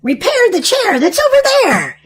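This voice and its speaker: A woman's voice: very high-pitched, wildly swooping pitch, measured pace, pitched above the performer's own voice, full character voice. A woman voicing a skinny, clumsy, reclusive old witch from a storybook, with a chanting manner. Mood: commanding, incanting. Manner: chanting rhymed spells.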